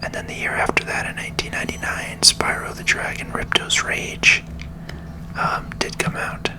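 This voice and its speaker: A person whispering ASMR commentary while playing a video game, in a gentle, unhurried manner. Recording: music under the voice. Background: game music.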